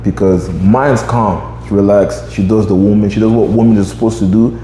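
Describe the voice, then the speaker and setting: A man's voice: lowish voice, steady tone, moderate pace, warm tone, reverberant, big-room sound, slight background noise, poor audio. A man in his twenties hosting a podcast, chatting casually and rambling with lots of fillers. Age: 20s. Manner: rambling, many fillers like "you know what I mean". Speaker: podcast host.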